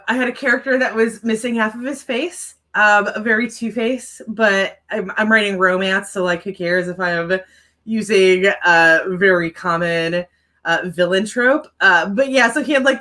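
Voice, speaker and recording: Bright-voiced woman with a mild stutter. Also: mid-range voice. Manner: rambling, with many fillers. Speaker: writing livestream co-host and author. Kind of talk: casual chat to viewers.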